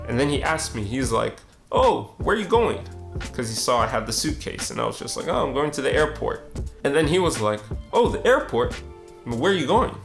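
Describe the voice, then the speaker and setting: Young man with a gravelly, casual voice. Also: medium pitch. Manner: casual, conversational, acts out the dialogue. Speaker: street photographer and vlogger. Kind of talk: reflective storytelling narration.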